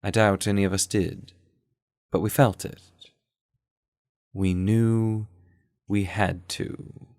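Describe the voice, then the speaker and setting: A man playing an earnest, shaken sleuth who narrates his adventure in a measured, literary way for an audio drama. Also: fairly low pitch, level tone, darkish tone, dry recording, clean studio sound. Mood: grave, reflective. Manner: literary, measured, deliberate phrasing. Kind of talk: scripted first-person audio drama narration.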